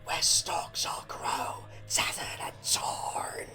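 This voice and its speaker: A woman voicing a towering cornfield monster in a horror story, chanting a rhyme slowly and menacingly in a breathy whisper. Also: bright, forceful tone, soft background music. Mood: slow menace. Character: sinister towering cornfield monster with jack-o'-lantern head.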